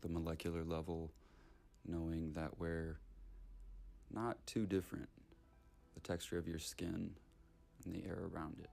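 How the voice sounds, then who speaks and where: A man leading a guided meditation in short, unhurried, soothing phrases with long gaps between them. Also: low-pitched, level tone, creaky, darkish tone, soft background music.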